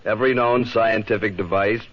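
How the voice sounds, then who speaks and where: An actor in a 1950s radio drama playing a formal aircraft designer, measured and reserved. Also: male voice, fairly low pitch, steady tone, close to an everyday voice. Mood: measured, guarded. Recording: dull vintage 1950s radio recording.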